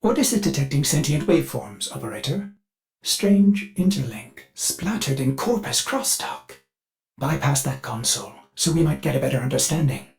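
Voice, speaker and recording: A man's voice: mid-range voice, lively intonation, normal speed, darkish tone, close to an everyday voice. Fussy, swooping robotic butler played by a man. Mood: informative. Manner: mannered, formal, refers to itself in third person. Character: polite, fussy, eager male ship AI servant, prone to slips. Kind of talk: scripted game character voice lines.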